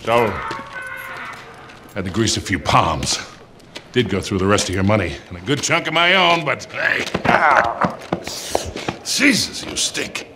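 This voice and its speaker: A man playing a gruff, wry older mentor and fixer in a video game, blunt and folksy in his talk. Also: fairly low pitch, expressive, unhurried, pauses often, background noise. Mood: wry, teasing. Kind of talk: cinematic game acting.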